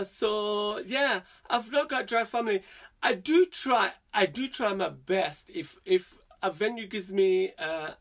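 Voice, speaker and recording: A man's voice: sits high, fairly bright tone. A man in his thirties, a guest drag performer chatting casually with fillers and giggles. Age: 30s. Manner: giggly, self-deprecating, halting with fillers. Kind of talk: casual interview chat.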